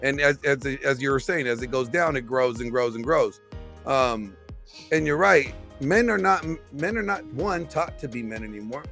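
A man in his forties on a video call podcast, weighing in slowly with many fillers and restarts. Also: mid-range voice, lively intonation, vocal fry, a bit bright, faint music behind. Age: 40s. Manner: halting, full of fillers and restarts. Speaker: podcast host. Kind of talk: casual debate on a video call. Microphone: studio mic on a video call.

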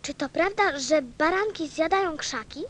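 Radio play: actress as a childlike, curious little boy prince, piping high voice with animated melody, earnest and insistent. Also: moderate pace, a little hiss or hum.

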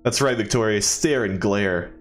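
A man's voice: on the low side, normal speed, soft background music. A young man giving casual, joking let's play commentary as a gaming YouTuber. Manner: wry, joking.

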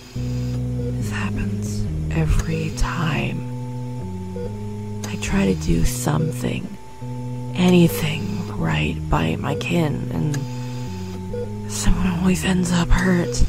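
Slow, low, breathy woman acting a wistful soul. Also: very animated, heavily acted. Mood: puzzled, uneasy, then despairing. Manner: hesitant, slow, reflective. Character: wistful, self-blaming female colour being named Cyan. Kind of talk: audio drama monologue. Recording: loud music under the voice.

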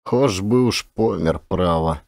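A man's voice: low voice, slow pace, gravelly, a little mellow, dry recording, studio quality, pitched below the performer's own voice, heavily acted. A man voicing a pious, worn-out old village workman who grumbles in reproach, in an audiobook. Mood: reproachful.

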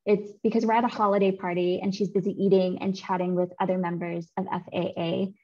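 Woman in her 30s presenting research over a video call, mellow voice, kinda muffled audio, calm and clear. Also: mid-range voice. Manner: clear, measured academic delivery. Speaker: seminar speaker, author presenting research. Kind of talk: academic talk over slides. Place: home room.

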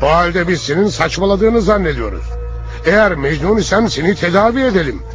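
A man's voice: sits high, expressive, fairly quick, faint music behind. An actor playing a haughty, menacing ancient pagan king, imperious and theatrical, in a cartoon. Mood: scornful, bargaining. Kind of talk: dubbed cartoon acting.

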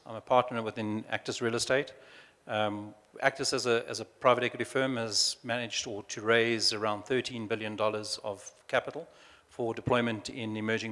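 Booming low-voiced man on a conference panel. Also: a bit bright. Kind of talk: panel introduction. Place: large conference hall. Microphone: handheld mic. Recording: long hall reverb.

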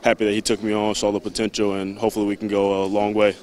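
A man's voice: fairly low pitch, monotone, rapid-fire, darkish tone. A young man, a bodybuilding winner, answering politely with sir on a big indoor stage. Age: young adult. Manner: polite, says yes sir. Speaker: contest winner. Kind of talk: brief on-stage interview.

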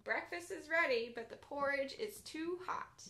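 Young woman, children's storytime host. High, bright voice, expressive read-aloud addressed straight to little listeners. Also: measured pace. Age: young adult. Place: bedroom, sitting up in bed.

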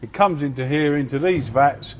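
A man's voice: mid-range voice, moderate pace, a little hiss or hum. A man visiting a factory, agreeing eagerly and summing up each step of the process in casual chat. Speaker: visiting presenter. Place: large factory floor.

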